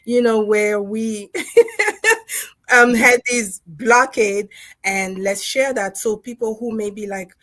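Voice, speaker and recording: A woman's voice: a little high, animated, slow pace, a bit bright, dry recording. A woman from Congo whose first language is French, a dentist and co-author talking through her experience in a casual livestream, reflective and full of fillers. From Democratic Republic of Congo. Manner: reflective, storytelling with frequent fillers and laughs.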